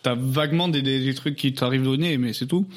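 A man's voice: medium pitch, a little fast, a little hiss or hum. A man, a podcast co-host and singer, chatting casually over drinks, laid-back and teasing back.

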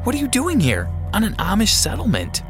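A man's voice: sits high, wildly swooping pitch, fairly quick, soft background music, pitched above the performer's own voice. A man voicing an earnest, emotional young woman, a girlfriend in a narrated story. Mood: shocked, confused. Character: young American girlfriend, startled, earnest, loving. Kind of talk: acted dialogue in a narrated story.

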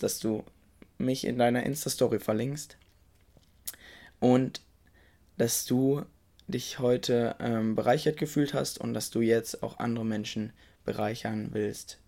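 Hoarse young man, gravelly and dark. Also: mid-range voice, steady tone, measured pace. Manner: enthusiastic, informal, addresses the listener directly. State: slightly hoarse from a cold. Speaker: podcast host. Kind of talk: casual book recommendation monologue.

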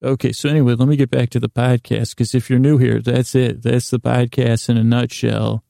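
Male podcast host, mellow and dark-toned in a dry close recording; wandering, stumbling, gravelly delivery that keeps doubling back. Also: medium pitch, level tone, no echo. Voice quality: gravelly, creaky. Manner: meandering, stammering, halting, trails off and circles back. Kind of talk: rambling comic podcast monologue.

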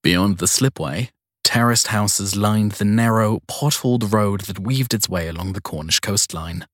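A man's voice: on the low side, a little slow, fairly bright tone, no echo, clean studio sound. A man narrating a crime story in a scripted audiobook style.

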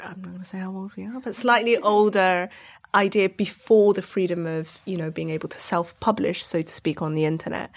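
A woman interview guest talking conversationally and reflectively about her creative work, often hedging as she explains. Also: lowish voice.

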